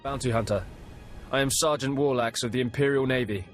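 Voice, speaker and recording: An actor playing an arrogant, bigoted imperial sergeant who barks contemptuous orders in a video game cutscene. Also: male voice, mid-range voice, a little fast, soft background music, lightly acted. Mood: barking.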